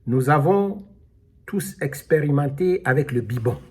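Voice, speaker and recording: Slow, husky male preacher. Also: medium pitch, expressive, darkish tone. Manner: slow, emphatic preaching with calls of alleluia. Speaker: pastor. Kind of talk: sermon.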